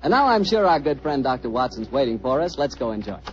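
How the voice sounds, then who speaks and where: An American man working as announcer in a 1940s radio style, with a husky voice on an old recording. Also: medium pitch, sing-song, quick pace, lo-fi sound, over music.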